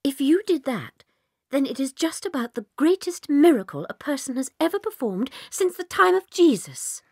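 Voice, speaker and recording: Kindly young English schoolteacher, high-ish and animated, gentle, for children's audio drama. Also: female voice, moderate pace, dry recording, clean studio sound. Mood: amazed, alarmed.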